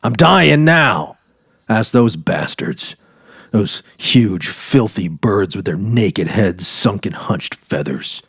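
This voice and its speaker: A man's voice: lowish voice, big pitch swings, slow pace, gravelly, fairly bright tone, in a large hall, full character voice. A man playing a weary, sardonic dying writer on safari in a dramatic literary reading. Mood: irritable. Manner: sardonic, resigned, quarrelsome.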